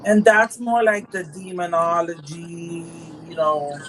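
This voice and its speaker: A woman's voice: lowish voice, takes it slowly. Casual Puerto Rican woman, filler-heavy. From Puerto Rico. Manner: relaxed, many fillers like "like" and "um". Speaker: guest practitioner. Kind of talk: casual show-and-tell chat. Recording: noisy livestream audio.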